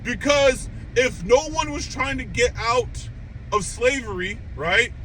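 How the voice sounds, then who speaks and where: A young man hosting an anime reaction channel, delivering an animated, emphatic rant straight to his viewers. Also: very high voice, takes it slowly, poor audio. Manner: animated, emphatic, incredulous outbursts; conversational, addresses viewers directly. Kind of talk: opinionated rant. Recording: steady traffic noise behind.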